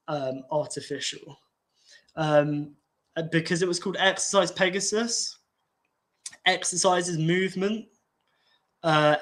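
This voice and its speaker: A man, nasal and congested, talking in a slow, halting way with many restarts and fillers. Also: fairly high pitch, fairly bright tone. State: congested from an infection. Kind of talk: casual rambling talk.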